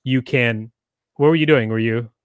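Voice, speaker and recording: A man's voice: mid-range voice, moderate pace, clear, resonant tone, phone-quality sound. A man in middle age hosting a podcast, explaining things conversationally and rephrasing his points as he goes. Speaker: podcast host. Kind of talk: conversational instructional podcast talk. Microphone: close studio microphone with foam windscreen, headset on.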